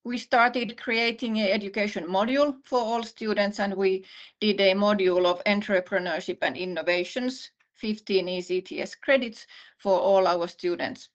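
A middle-aged woman with a Finnish accent gives an online presentation as a university vice-dean, speaking in a measured way with many pauses and restarts. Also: mid-range voice. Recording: slightly muffled video call audio.

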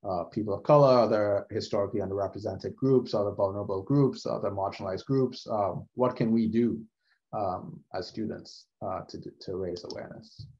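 A man's voice: fairly low pitch, darkish tone. Man on a muffled video call. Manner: lots of "uh" fillers, measured. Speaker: panel moderator. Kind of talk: moderating an online panel. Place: video call, suit, virtual background.

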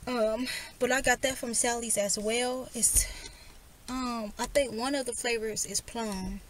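A soft-spoken young woman, chatting casually to her camera in a parked car. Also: fairly even intonation, a little slow, a little hiss or hum, rough recording. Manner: soft-spoken, low and quiet. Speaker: vlogger. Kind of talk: casual vlog chat.

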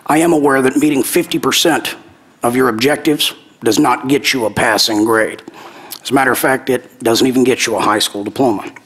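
A man speaking slowly and deliberately in interview soundbites, pausing often. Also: mid-range voice, fairly bright tone, breathy edge, rough recording. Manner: slow, deliberate with pauses.

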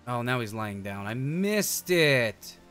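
A man streams a video game with lively, swinging intonation. He talks casually and thinks aloud in chatty slang. Music plays behind his voice. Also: mid-range voice, slow. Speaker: streamer, show host.

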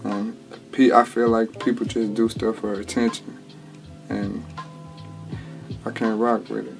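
A man's voice: fairly low pitch, level tone, unhurried, gravelly. A twenty-year-old man, a rapper, answering interview questions in a laid-back, drawling way with lots of slang and restarts, in a small home studio. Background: music bed under the talk.

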